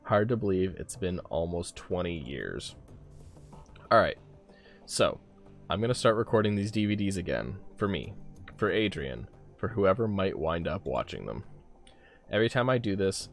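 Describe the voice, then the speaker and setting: A man's voice: low voice, vocal fry, heavily acted. A man voicing a weary, stubborn middle-aged whiskey drinker from a video game, reading his bitter dialogue aloud.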